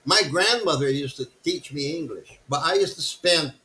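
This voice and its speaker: Older brazilian guy, portuguese first language, english w/ a southern us twang mixed in, bright voice, keeps restarting his sentences. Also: a little high, moderate pace, low-quality recording. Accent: Brazilian Portuguese with a Southern US tinge. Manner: many restarts and repeated words, laughs easily. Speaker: interviewee. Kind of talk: casual conversation.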